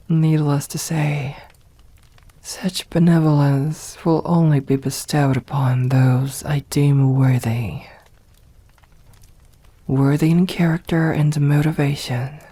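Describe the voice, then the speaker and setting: A woman voicing a haughty, seductive, possessive vampire mistress in an ASMR roleplay, speaking softly in formal, condescending phrases, often close to a whisper. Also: low-pitched, heavily acted. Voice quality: breathy, soft, often whispered. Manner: slow, deliberate, condescending, formal old-fashioned diction. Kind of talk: ASMR roleplay, scripted acting.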